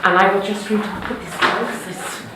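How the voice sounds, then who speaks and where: A woman's voice: medium pitch, a little fast, heavy background noise. A woman from Wales with a Cardiff accent, reading poems aloud at a live event with chatty asides in between. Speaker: poetry reader. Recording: echoey room.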